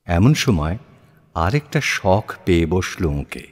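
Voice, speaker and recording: A man narrating a literary audio story in a measured, expressive, deliberate way with pauses. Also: deep voice, husky. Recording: long hall-like reverb.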